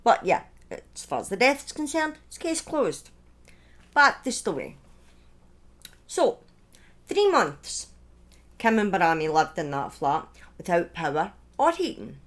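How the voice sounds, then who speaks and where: A woman narrates true crime as if chatting to followers. Her intonation is very lively, moving widely up and down. She breaks her phrases up and steps out of the script for informal, opinionated asides. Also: medium pitch, a little slow, long pauses. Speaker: true-crime storyteller, streamer. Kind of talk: conversational true-crime storytelling, part scripted.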